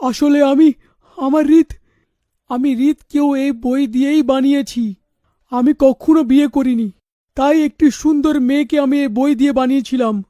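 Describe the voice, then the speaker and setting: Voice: sits high, fairly even intonation, unhurried, warm tone, no echo, studio quality. An actor playing a troubled, protective middle-aged father in a cartoon, grave and insistent in manner. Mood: troubled, reluctant. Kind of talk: animated story dialogue.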